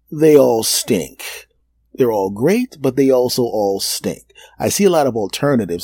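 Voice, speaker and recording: A man in his fifties hosting a solo podcast, rambling and joking, laughing at his own jokes and dropping into low asides. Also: dark tone, no echo. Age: 50s. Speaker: podcast host. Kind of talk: solo comedic podcast monologue.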